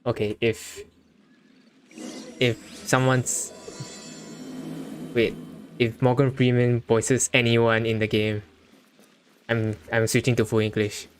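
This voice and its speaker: Casting: man, game streamer, halting offhand commentary, slow, average pitch. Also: monotone, faint music behind. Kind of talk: casual livestream commentary.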